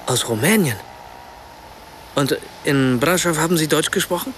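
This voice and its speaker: An actor playing a polite, curious German writer in a radio drama, asking formal questions. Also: male voice, on the high side, animated, normal speed, noisy background, lightly acted.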